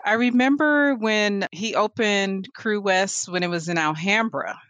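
A woman's voice: medium pitch, unhurried, no room sound. Laughing woman in her forties. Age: 40s. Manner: animated storyteller, laughs often. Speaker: guest, photographer and artist. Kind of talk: casual interview conversation.

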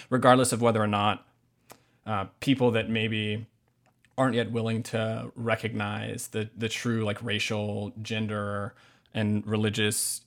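Man, American accent, low voice, earnest, reflective, conversational podcast guest, feeling out his phrasing. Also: creaky, a bit bright. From Hawaii, United States. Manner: earnest, reflective, searching for words. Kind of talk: thoughtful conversational interview answers.

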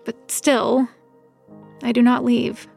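World-weary woman narrator for audio drama: higher-set, gravelly fry, measured and wry. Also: level tone, darkish tone, faint music behind, exaggerated voice. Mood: weary, reflective. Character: world-weary, recovering-alcoholic woman narrator, reluctant detective's helper. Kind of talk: first-person scripted audio drama narration.

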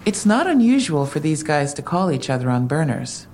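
An actress playing a wry, probing police detective in an audio drama, matter-of-fact and questioning. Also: female voice, low-pitched, sing-song, normal speed, darkish tone, slight background noise.

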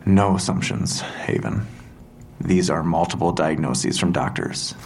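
An actor playing a calm, probing police interrogator in an audio drama, measured, polite and softly relentless. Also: male voice, sits low, slight background noise. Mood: clinical, insistent. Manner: measured, softly insistent, matter-of-fact. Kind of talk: full-cast audio drama acting.

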